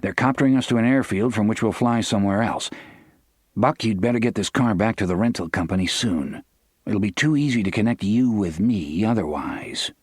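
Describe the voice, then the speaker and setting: A man's voice: on the low side, moderate pace, husky, dry recording, studio quality. A man voicing a grim, tense pilot and father with terse delivery in an audiobook. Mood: urgent. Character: grim, terse airline captain father under strain.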